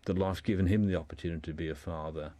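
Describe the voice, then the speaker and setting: Low-voiced man, halting explainer. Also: fairly even intonation, warm tone. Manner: halting, repeats words as he explains. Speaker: guest discussing a writer. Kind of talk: informal radio discussion.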